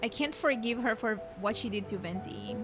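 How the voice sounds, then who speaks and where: A woman's voice: fairly high pitch, normal speed, over music. A young woman streaming a game with casual, slangy, playful and opinionated commentary.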